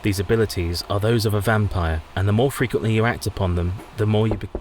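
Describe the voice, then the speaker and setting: Low, earnest Victorian husband played by a man. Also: fast, a little mellow, slight background noise, natural voice, low-quality recording. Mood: tender, grave. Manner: formal, earnest. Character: earnest young Victorian husband, protective, anguished. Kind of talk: radio play acting.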